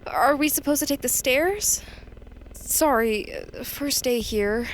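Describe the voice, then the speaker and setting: A woman's voice: high-pitched, slow, slight background noise, low-quality recording. A woman playing a nervous new office worker, hesitant and muttering to herself, in a naturalistic audio drama. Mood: anxious.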